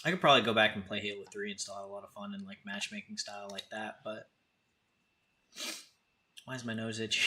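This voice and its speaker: A man's voice: lowish voice, steady tone, fairly quick, long pauses, noisy background. A man in his thirties hosting a casual livestream, talking informally and directly to his viewers. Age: 30s. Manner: informal, conversational, addresses chatters directly. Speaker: live streamer, host.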